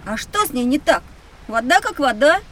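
Sceptical, curious young boy, piping high pitch, cheeky and matter-of-fact, slightly off-mic; children's drama. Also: sing-song, moderate pace, fairly bright tone, recorded from a distance, a little hiss or hum. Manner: matter-of-fact, doubting, inquisitive. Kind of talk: children's educational audio drama.

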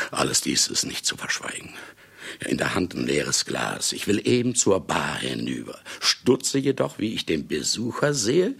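Gravelly, vain old celebrated writer. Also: male voice, low-pitched, fairly bright tone, heavily acted. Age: older. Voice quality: gravelly, husky. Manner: theatrical, self-ironic, blustering, chuckling asides. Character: vain, boozy, celebrated male writer, brusque and self-mocking. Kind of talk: German radio play acting and narration.

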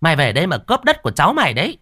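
A man voicing a furious middle-aged village woman hurling rapid curses. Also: on the high side, wildly swooping pitch, bright, forceful tone, dry recording, clean studio sound, pitched above the performer's own voice, heavily acted. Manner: rapid angry cursing.

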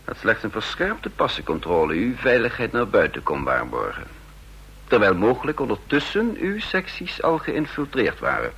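A cool, shrewd male counterintelligence chief from a vintage radio play, dry and self-assured. with a slightly husky voice and a lively, moving pitch, steering the conversation with ironic ease. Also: moderate pace, full character voice. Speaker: narrator and lead character. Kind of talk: vintage radio drama acting.

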